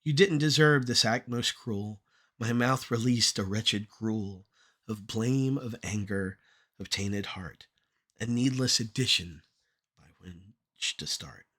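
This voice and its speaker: A man reading his own poetry aloud in an earnest, deliberate way, pausing between short phrases. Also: on the low side, a bit bright. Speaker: poet reading own work. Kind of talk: poetry recitation at a draft table read.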